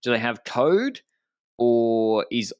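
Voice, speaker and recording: A man's voice: medium pitch, big pitch swings, takes it slowly, a little mellow, smooth, no echo. A man co-hosting a podcast in casual discussion, often thinking aloud and restarting his sentences. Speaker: podcast host.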